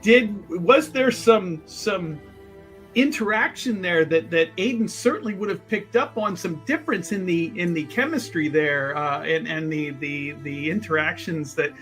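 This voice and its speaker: A man with a high voice talks as a player in a tabletop roleplaying game. He is wordy and deliberate, with faint music behind him. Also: fairly bright tone. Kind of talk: casual game-table chat.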